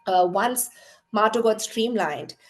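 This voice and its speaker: A middle-aged woman from Chennai, a company founder, telling her story in an interview with earnest pauses and fillers. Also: medium pitch, expressive. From Chennai, India. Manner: earnest, many fillers like "uh" and "you know".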